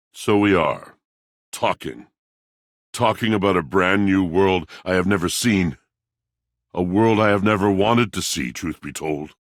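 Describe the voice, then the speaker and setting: Melancholy grandiloquent game character, very low husky voice, slow poetic delivery, self-pitying. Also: male voice, no room sound, studio quality, exaggerated voice. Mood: subdued, musing. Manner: theatrical, florid, poetic diction. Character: brooding, melancholy, grandiloquent male animated washing machine, self-pitying romantic. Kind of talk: video game character acting.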